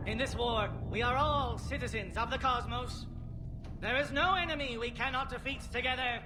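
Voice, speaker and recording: A resolute male alien officer from a video game, with a strikingly high pitch. His delivery is formal and oratorical, every phrase emphatic. Music plays clearly behind him. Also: normal speed. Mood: rousing, proud. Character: resolute male alien Salarian military commander. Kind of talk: rallying battlefield speech and orders.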